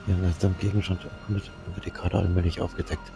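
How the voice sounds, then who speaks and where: A man's voice: low voice, huge pitch swings, very fast, vocal fry. A man doing let's play commentary, reading game hints aloud. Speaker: let's player. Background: game music.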